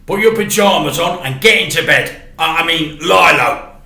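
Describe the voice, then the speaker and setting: A man's voice: fairly high pitch, moderate pace, gravelly, bright, forceful tone, echoey room, voice pushed up, heavily acted. A man voicing a harried, evasive father in a children's storybook, insistent and matey as he orders his son to bed. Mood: bossy. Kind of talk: children's storybook read aloud.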